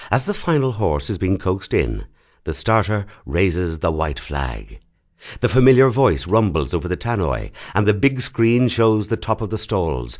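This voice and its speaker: A man reading a literary short story as a scripted narration, in a measured, dramatic storytelling delivery, recorded in a studio. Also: on the low side, darkish tone.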